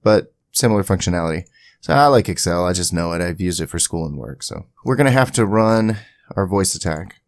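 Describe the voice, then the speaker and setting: A man with a deep, creaky voice talks through a software tutorial. The sound is dry and close, with no room ring. His delivery is conversational, dropping in chatty asides as he explains each step. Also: animated, a little fast, dry recording. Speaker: tutorial video creator. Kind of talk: casual screen-share software tutorial. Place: indoors at a home PC, voice-over to screen capture.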